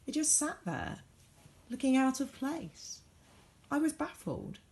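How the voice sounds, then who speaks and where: A woman reading a children's storybook aloud as a teacher would, with dramatic, varied emphasis and deliberate pauses. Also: high voice, very animated, vocal fry, a little mellow.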